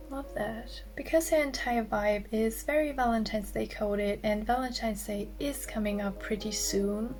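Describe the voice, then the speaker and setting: A woman with a raspy voice, vlogging about her clay art in a soft-spoken way that often drops to a whisper. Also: on the high side. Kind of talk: casual vlog narration.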